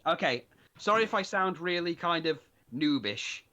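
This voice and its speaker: A man's voice: fairly high pitch, animated, normal speed, sharp tone. A man in casual chat as a tabletop game player, talking in a rambling, self-deprecating way.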